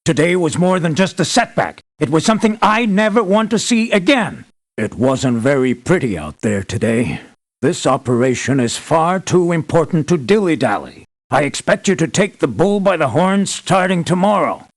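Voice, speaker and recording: A blunt, demanding boss of hired soldiers in a video game. The man's voice sits a little high and swings widely. He is articulate and businesslike, with an anxious, emphatic edge. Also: big pitch swings, normal speed. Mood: grave. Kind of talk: video game character voice lines.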